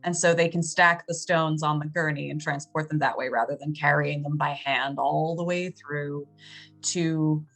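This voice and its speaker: Lower-pitched woman narrating over music. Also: fairly bright tone. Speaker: game master narrating scenes. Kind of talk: improvised conversational game narration. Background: background music.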